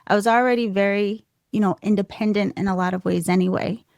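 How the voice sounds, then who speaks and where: Soft-voiced American woman, podcast guest, mid-range pitch, dry close sound, open and laughing storyteller. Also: quick pace, creaky, dry recording. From United States. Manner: laughs often, animated and self-deprecating. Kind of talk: candid conversational storytelling.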